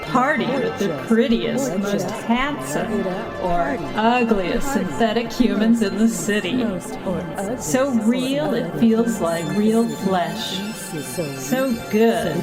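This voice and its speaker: A woman playing a seductive announcer, giving a sultry sales pitch in a parody radio commercial. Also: expressive, slow. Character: seductive female announcer in sleazy futuristic commercial. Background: loud music.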